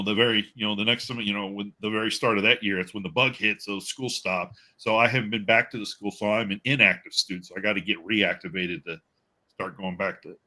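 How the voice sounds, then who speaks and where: Male livestream host, very sharp bright tone, rambling jokey chat, halting delivery. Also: lowish voice. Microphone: video call stream.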